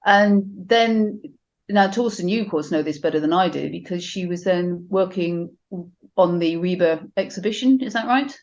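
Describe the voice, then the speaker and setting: A woman with a fairly low voice, talking conversationally as an academic guest on an interview show. The sound is slightly dull and lo-fi. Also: moderate pace, a little mellow. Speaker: guest, English professor.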